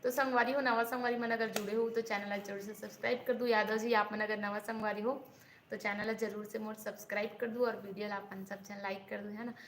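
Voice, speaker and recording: A woman's voice: mid-range voice, level tone, brisk. A young woman with a Chhattisgarhi accent, chatting casually with viewers on a live stream and asking them to subscribe. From Raipur district, Chhattisgarh. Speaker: vlogger hosting a live stream. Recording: echoey room, faint hiss.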